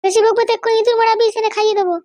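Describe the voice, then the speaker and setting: A man voicing a bossy, short-tempered middle-aged cartoon wife with a West Bengal accent and a pitched-up voice. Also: little pitch movement, rapid-fire, a little mellow, no room sound. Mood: scolding. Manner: scolding, threatening. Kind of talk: comic cartoon dialogue.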